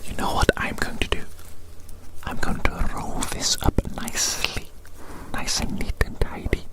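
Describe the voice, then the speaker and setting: A man whispering a breathy ASMR roleplay monologue in a rambling, repetitive, whimsically fussy way. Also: a little slow, rough recording.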